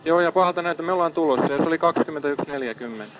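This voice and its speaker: Male ship radio operator, slightly high pitch, procedural, confirms details, muffled marine radio recording. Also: over a noisy crowd. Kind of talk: maritime distress radio traffic.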